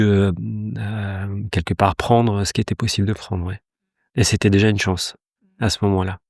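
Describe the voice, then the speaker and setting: Hesitant man in his fifties, low voice. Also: a little mellow, no room sound, clean studio sound. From Iran. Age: 50s. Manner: hesitant, many fillers and restarts, reflective. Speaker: guest, company director. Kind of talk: podcast interview.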